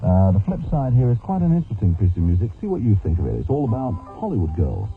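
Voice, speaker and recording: British guy hosting old radio interview, kinda low voice, wordy n jokey, super tinny sound. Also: huge pitch swings, fast, creaky, soft background music. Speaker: radio programme host. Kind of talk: live radio interview presenting. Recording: tinny band-limited old radio broadcast, hiss.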